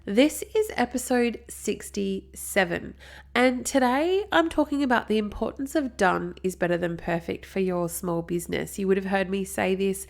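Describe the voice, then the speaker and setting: A woman's voice: mid-range voice, animated, moderate pace, creaky. Chatty Australian woman with a blocked nose. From South Australia. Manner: chatty, upbeat, prone to digressions. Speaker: podcast host. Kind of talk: solo marketing podcast talk.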